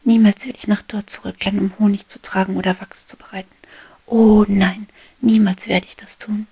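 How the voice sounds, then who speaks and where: A woman voicing an eager, bold young female bee in a storybook reading, speaking in an excited half-whisper that rises louder. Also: medium pitch, normal speed, breathy edge, pitched above the performer's own voice. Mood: defiant joy. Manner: excited soft whisper turning loud.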